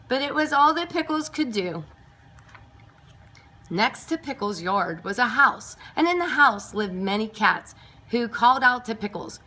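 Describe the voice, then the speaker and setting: A woman reading a picture book aloud to nursery school children, expressive and emphatic, with chatty asides. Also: mid-range voice. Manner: emphatic, clearly paced for young children.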